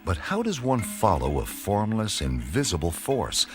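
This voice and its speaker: A man's voice: sits low, very animated, measured pace. A man playing a mysterious storyteller, narrating a radio play in a theatrical, suspenseful style. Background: music.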